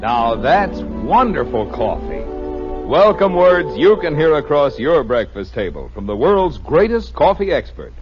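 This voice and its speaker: A man announcing in the style of a 1950s radio advertising pitch, with a husky voice. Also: big pitch swings, a little slow, loud music behind the voice. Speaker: commercial announcer. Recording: muffled vintage radio recording.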